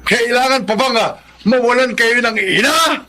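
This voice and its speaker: A high-voiced, stern old uncle. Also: male voice, normal speed, pushed and bright. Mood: stern scolding. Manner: admonishing, preachy. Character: elderly uncle, stern, protective family elder. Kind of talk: Tagalog radio drama acting.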